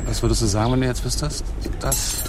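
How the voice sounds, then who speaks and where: A hoarse man hosting a podcast in a casual, rambling, self-mocking way, with dry humor. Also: medium pitch, fairly even intonation, quick pace, over a noisy crowd. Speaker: podcast host. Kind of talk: casual chatty monologue.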